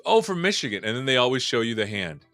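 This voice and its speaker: A man's voice: medium pitch, very animated, fast talker, fairly bright tone, dry recording, faint music behind. A man hosting a live tech show, chatting casually and joking as he welcomes viewers. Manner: jokey, rambling and upbeat. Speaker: show host. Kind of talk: casual live-stream chat.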